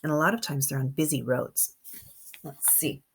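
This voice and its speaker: A woman's voice: very deep voice, moderate pace, husky. A kindergarten teacher, a woman, reading a picture book aloud expressively to young children and adding chatty comments as she goes. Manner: expressive, engaging young listeners with questions and comments.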